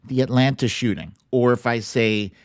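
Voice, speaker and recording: A man's voice: medium pitch, moderate pace, no room sound, clean studio sound. An American man hosting an online political commentary show, talking casually, bluntly and emphatically, with some swearing.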